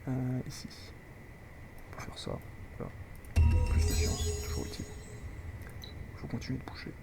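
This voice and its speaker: Man with a French accent, has a cold, lively up-and-down voice, rambling gameplay commentary, loud game music behind. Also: mid-range voice, a little slow, gravelly, poor audio. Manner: rambling, chatty, talks to viewers while playing. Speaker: gaming video host. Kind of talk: casual strategy game commentary.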